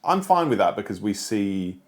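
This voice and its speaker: A man, co-hosting a casual podcast chat about books, enthusiastic and playful. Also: normal speed. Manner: enthusiastic, lively swings of pitch, playful. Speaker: podcast co-host.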